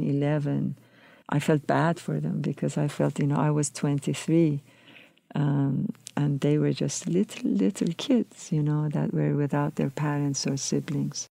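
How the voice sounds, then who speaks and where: A woman recounts her past in a reflective interview, often pausing to find her words. Her voice is gravelly and stays fairly level in pitch. The recording is dry and close. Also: mellow, dry recording. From Iran. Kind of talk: recounting personal history.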